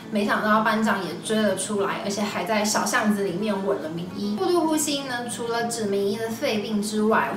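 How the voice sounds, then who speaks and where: Echoey young Taiwanese Mandarin-speaking woman vlogger. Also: medium pitch. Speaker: video host. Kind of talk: scripted recommendation vlog. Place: small indoor room. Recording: echoey room, slightly distant mic. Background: background music.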